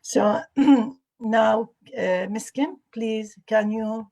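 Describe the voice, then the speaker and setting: Woman host from Iraq on a video call, dry close sound, halting and formal, lots of "uh". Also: on the high side, gravelly, darkish tone, no echo. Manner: polite, halting, many "uh" fillers. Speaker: host and adult education instructor. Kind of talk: formal roundtable introductions.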